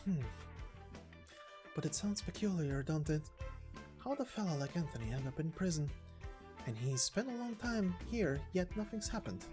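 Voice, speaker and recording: A man plays a gossipy convict from a game story, rambling in folksy slang. His pitch swings widely as he talks, confiding like an old hand of the cellblock, with music underneath. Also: lively intonation, normal speed, pauses often, natural voice. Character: chatty folksy male prison convict spinning gossip.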